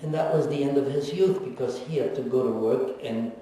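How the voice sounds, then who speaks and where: Older man, mellow voice, echoey hall. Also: medium pitch, a little hiss or hum. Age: 70s. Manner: reflective, wry and teasing, with frequent pauses. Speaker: guest speaker, Holocaust survivor. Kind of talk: personal testimony in a lecture Q&A. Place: lecture hall. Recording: echoey hall recorded from far off.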